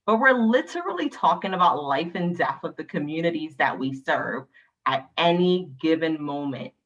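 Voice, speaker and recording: A passionate woman from Barbados speaks on a panel over a video call. Her voice sits very low but rings bright and forceful, and she drives her points home with emphatic tag questions. The call audio sounds dull and lo-fi. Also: expressive, normal speed. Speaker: panelist, nonprofit leader.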